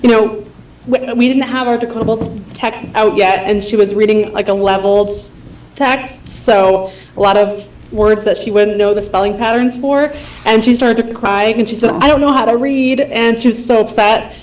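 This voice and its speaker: A woman's voice: on the high side, steady tone, normal speed, a little mellow. A woman teacher speaking casually with many fillers at a school board meeting. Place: meeting room. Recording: echoey room, far from the mic.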